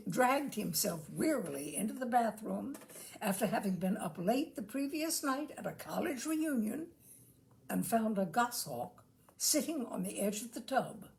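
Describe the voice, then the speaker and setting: A woman with a fairly high voice reads comic prose aloud. Her intonation swoops expressively and often settles into a gravelly creak. The delivery is theatrical, with deliberate phrasing and pauses for effect. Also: darkish tone. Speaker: reader of literary humour. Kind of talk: expressive reading of a comic essay.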